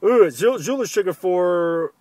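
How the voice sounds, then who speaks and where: A man's voice: high voice, animated, normal speed, a little mellow, dry recording. A man operating amateur radio, talking over the air and repeating phrases for emphasis. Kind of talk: ham radio contact, spoken to camera and over the air. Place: outdoors in the field.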